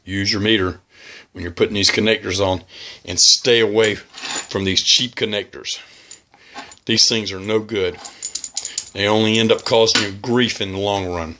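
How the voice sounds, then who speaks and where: A man giving a casual hands-on demonstration as a radio hobbyist, talking in a halting, plain-spoken, cautionary way. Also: on the low side, pushed and bright. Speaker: amateur radio hobbyist presenting a how-to video.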